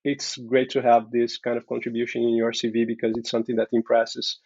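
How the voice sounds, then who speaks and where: A man's voice: mid-range voice, monotone, fairly quick, a little mellow, breathy edge, no room sound. Brazilian-accented man on a dull dry mic. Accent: Brazilian Portuguese. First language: Portuguese. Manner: friendly, encouraging, many back-channel murmurs. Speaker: host. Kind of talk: casual interview chat.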